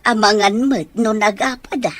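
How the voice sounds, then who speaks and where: A woman's voice: medium pitch, steady tone, normal speed, lightly acted. A woman playing a concerned mother and wife in an audio drama, questioning and exclaiming as she speaks. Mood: concerned, motherly.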